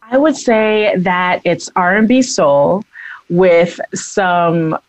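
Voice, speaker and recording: A woman singer in her thirties, from the American East Coast, chatting brightly and laughing as an interview guest. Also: on the low side, drawn-out words, no room sound, low-quality recording. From United States East Coast. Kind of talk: casual interview talk.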